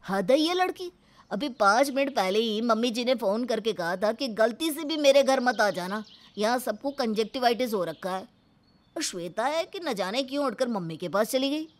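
A woman's voice: a little high, animated, normal speed, close to an everyday voice. A woman voicing a sensible young husband in a cartoon story, earnest and protesting. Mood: exasperated.

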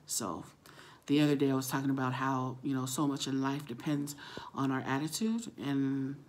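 A middle-aged woman with a husky, gravelly voice, chatting casually to camera and telling a story, acting out the dialogue as she goes. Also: a bass voice, normal speed, a little mellow, slight background noise. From Samoan, living in California. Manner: chatty, acts out quoted dialogue. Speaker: vlogger. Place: small room at home, indoors. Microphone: phone at arm's length.

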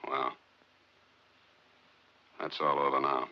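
A man acting a world-weary, guarded rocket scientist in a 1950s film, with an American accent and a gravelly voice. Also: extremely low pitch, flat delivery, takes it slowly. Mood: bitter, weary. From United States. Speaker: film actor. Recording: dull vintage film soundtrack with loud music.

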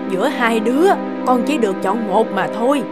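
A woman's voice: on the high side, brisk, over loud music, natural voice. A woman voicing a stern, scheming older mother-in-law in a cartoon, speaking firmly and lecturing. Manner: firm and lecturing. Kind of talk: cartoon dub acting.

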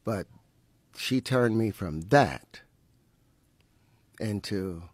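A man in his fifties as a podcast guest, talking haltingly with many restarts and frequent laughs. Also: lowish voice, expressive, slightly rough, no room sound. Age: 50s. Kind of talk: interview conversation. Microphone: podcast mic with headphones.

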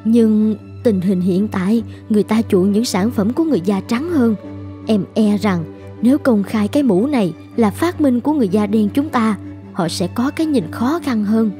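Actress as worried wife, animated story, soft dark tone, measured earnest delivery, light music behind. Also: female voice, fairly high pitch, close to an everyday voice. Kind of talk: dubbed cartoon dialogue.

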